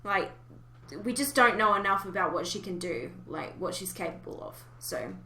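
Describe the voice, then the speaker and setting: Woman hosting a chatty podcast, bright clear-cut voice, pretty echoey room. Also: mid-range voice, lively intonation, moderate pace, a little hiss or hum. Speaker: podcast host. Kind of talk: casual podcast chat. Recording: roomy, echoey home recording.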